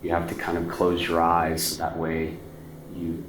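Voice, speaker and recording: A man's voice: low-pitched, completely flat delivery, normal speed. A man acting as game master, narrating a tabletop horror game in an informal, descriptive way. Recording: roomy echo, background noise.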